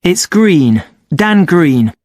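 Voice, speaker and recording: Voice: medium pitch, very animated, drawn-out words, a little mellow, no room sound, studio quality. An actor playing a polite young amateur photographer, explaining things a little hesitantly in a scripted listening-test dialogue.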